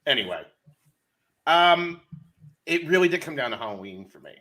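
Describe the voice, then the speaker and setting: A man's voice: a little high, big pitch swings, normal speed, bright, forceful tone. A man chatting on a panel in a wry, self-deprecating way. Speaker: podcast panelist. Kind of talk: casual panel chat.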